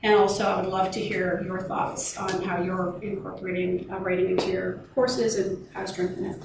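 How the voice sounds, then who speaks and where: Female lecturer, measured workshop delivery, distant mic with heavy echo. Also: on the low side, level tone, creaky. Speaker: university lecturer. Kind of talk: workshop presentation to colleagues. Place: meeting or seminar room. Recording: echoey room, distant mic, noisy background.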